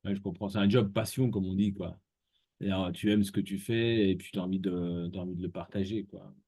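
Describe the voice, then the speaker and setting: Male host, low warm voice, dry close sound, casual hesitant chat. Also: a little fast, slightly rough, no echo. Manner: relaxed, frequent fillers and backchannels. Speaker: interview host. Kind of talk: casual conversational interview.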